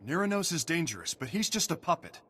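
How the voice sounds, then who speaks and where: An actor playing a gruff, duty-bound prison station officer in a video game, giving brisk military briefings. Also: male voice, on the high side, big pitch swings, sharp tone, slight background noise. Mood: stern, urgent.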